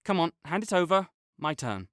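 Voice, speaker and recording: A man's voice: on the high side, big pitch swings, normal speed, fairly bright tone, no room sound, studio quality. A young man voicing a competitive male student rival who teases and bosses, then turns flustered and defensive. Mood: teasing. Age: young adult. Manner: teasing and bossy, gets flustered and defensive. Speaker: voice actor in an audio roleplay. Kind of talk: audio roleplay acting.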